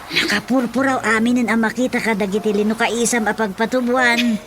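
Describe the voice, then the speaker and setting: A woman plays a sensible Ilocano mother in a radio drama. She brushes things off in a high, bright, matter-of-fact voice. Faint ambience sits in the background. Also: steady tone, brisk, a little hiss or hum, natural voice. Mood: amused disbelief. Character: sensible, amused village mother.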